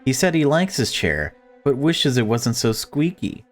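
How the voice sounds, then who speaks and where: A man voicing a falsely cheerful, unhinged mother in a horror visual novel, coaxing and brisk. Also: medium pitch, big pitch swings, faint music behind, voice pushed up.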